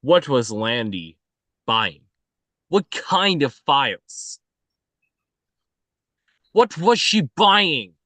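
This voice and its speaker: A man's voice: mid-range voice, very animated, very slow, long pauses, pushed and bright, no echo, full character voice. A man playing an intense, suspicious agent on the run, interrogating and demanding in a screenplay table read.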